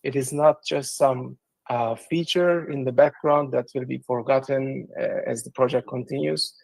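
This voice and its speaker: A man from Turkey answering interview questions in a live audio session. He pauses often on ums and uhs as he explains. His voice has a gravelly, fry-tinged texture, heard dry and close with no room sound. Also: medium pitch, fairly even intonation, a little mellow, dry recording, poor audio. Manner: hesitant, many ums and uhs. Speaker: guest, project business development director. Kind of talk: casual livestream interview.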